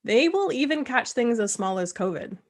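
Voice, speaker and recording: A woman's voice: fairly high pitch, sing-song, moderate pace. Chatty woman host, casual chat. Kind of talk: casual video-call chat.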